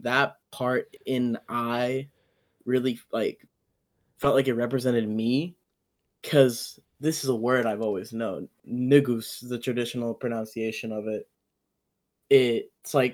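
A man's voice: medium pitch, a little mellow. Young man, from Ethiopia, podcast co-host, earnest, hesitant, personal. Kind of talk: casual chat.

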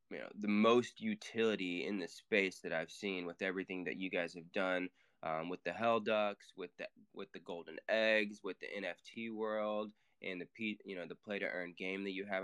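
A man co-hosts a casual online audio chat, eager and enthusiastic, asking long and rambling questions. His low voice comes across smooth and resonant. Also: fairly bright tone. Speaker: co-host.

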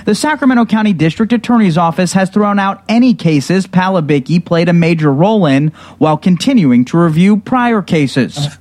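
A man's voice: high voice, lively intonation, moderate pace, hardly any pauses, darkish tone. A man reading scripted news narration as a television reporter.

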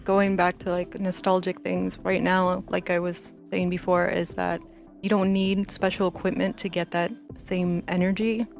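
A woman's voice: fairly low pitch, flat delivery, moderate pace, gravelly. A woman chatting casually as a panelist on a podcast, in loose conversational phrases. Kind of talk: casual chat. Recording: faint music underneath. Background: soft background music.

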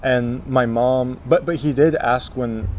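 A man in his twenties from Texas, telling his life story in an interview, halting with many fillers and restarts. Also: mid-range voice, darkish tone, a little hiss or hum. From Texas, USA. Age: 20s. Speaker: guest, Zen monk.

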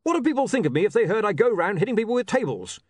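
A man's voice: high-pitched, sing-song, racing, no echo, clean studio sound, voice pushed up. A man voicing a thick-shouldered barbarian with wounded pride, blustering and indignant in simple, ungrammatical speech. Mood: aggrieved.

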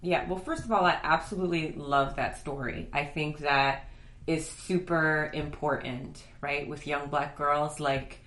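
A woman's voice: sits low, measured pace, fairly bright tone. A woman hosting a podcast interview, chatty and enthusiastic, often checking in with "right?". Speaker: podcast host. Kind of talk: casual interview conversation. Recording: echoey room.

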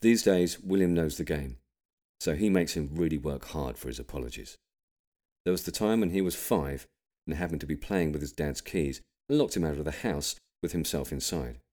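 A British man reading an audiobook, delivering scripted comic narration in an expressive storytelling manner. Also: very deep voice, normal speed. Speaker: audiobook reader.

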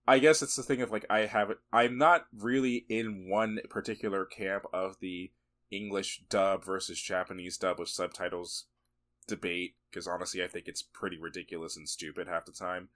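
A man's voice: low-pitched, fairly even intonation, moderate pace, fairly bright tone. A man co-hosting a podcast, chatting casually about a film, rambling a little, laughing often and swearing for emphasis. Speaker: podcast co-host. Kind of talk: casual movie review chat.

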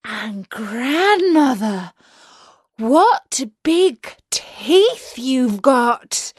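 A woman voicing an innocent, polite little country girl in a children's storybook, with wide-eyed, drawn-out wonder. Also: high voice, sing-song, husky, no room sound, clean studio sound, pitched above the performer's own voice, heavily acted. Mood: wondering, amazed.

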